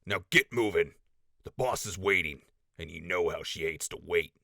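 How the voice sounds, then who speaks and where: Deep, forceful raider foreman. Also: moderate pace, creaky, dry recording, studio quality, exaggerated voice. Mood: harsh, impatient. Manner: barking orders, swearing. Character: gruff, bossy male raider crew leader. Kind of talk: post-apocalyptic audio drama acting.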